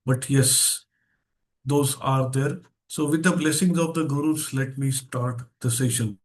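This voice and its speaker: A man with an Indian accent leads an online mindset seminar as guest speaker. His voice sits at a medium pitch, and he stresses key phrases. He often puts rhetorical questions to his listeners. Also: unhurried. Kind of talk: interactive online seminar talk. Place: online video session.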